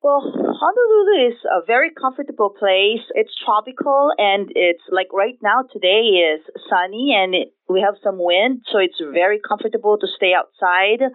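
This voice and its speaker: A woman's voice: mid-range voice, lively intonation, normal speed, few pauses. A middle-aged woman from the Philippines with a Filipino accent, answering conversationally as an interview guest. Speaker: interview guest, director of nursing. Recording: tinny phone line.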